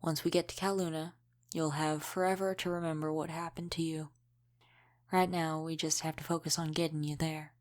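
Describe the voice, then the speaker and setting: Woman doing a centuries old lady vampire for audio rp, low flat voice, wry n folksy, gruff but protective, takes her time. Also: lightly acted. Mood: guarded, matter-of-fact. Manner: unhurried, wry, folksy dropped g's. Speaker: audio roleplay performer. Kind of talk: intimate audio roleplay acting.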